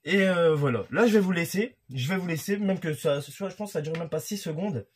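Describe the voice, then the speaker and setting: A man's voice: fairly high pitch, fairly quick, breathy edge. A young man from France, a YouTuber, ranting heatedly and accusingly to camera from a room at home. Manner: heated and accusatory, talking straight to the target. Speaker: YouTuber commentator. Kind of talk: casual rant to camera. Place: room at home with a creaky wooden floor.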